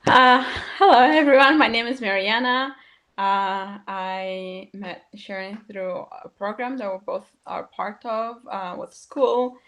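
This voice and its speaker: A woman's voice: medium pitch, a little slow, a bit bright. Moldovan woman, romanian first language, careful and a bit hesitant, video call. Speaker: therapist, co-host.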